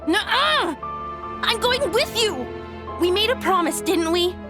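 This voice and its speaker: A woman's voice: very high-pitched, animated, measured pace, music in the background, exaggerated voice. A woman playing a stubborn, playful, devoted young heroine in a fantasy video game. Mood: stubborn, pleading.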